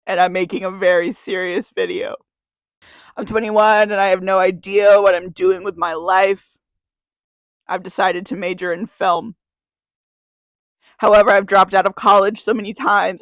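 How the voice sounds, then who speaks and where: A woman's voice: mid-range voice, fairly even intonation, normal speed, no room sound. Crying 21-year-old woman reciting a poem. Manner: earnest, confessional, repeating a refrain. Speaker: YouTuber. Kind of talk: spoken-word poem to camera.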